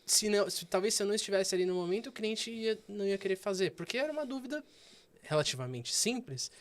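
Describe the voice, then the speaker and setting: A man, a guest banker sharing stories in a casual interview with animated delivery and frequent fillers. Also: high-pitched, quick pace.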